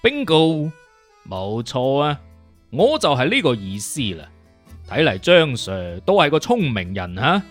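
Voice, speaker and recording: A man's voice: mid-range voice, wildly swooping pitch, a little slow, faint music behind, pitched below the performer's own voice. A man voicing a cocky, teasing detective consultant in an audiobook, speaking slangy with a swaggering, mocking manner. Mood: smug.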